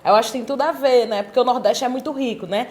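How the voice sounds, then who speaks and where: Cearense woman guest, reflective and conversational, voice a bit on the high side. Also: fast, darkish tone. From Sobral, Ceará, Brazil. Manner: reflective, many tag questions. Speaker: guest, cultural worker. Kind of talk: conversational interview answers.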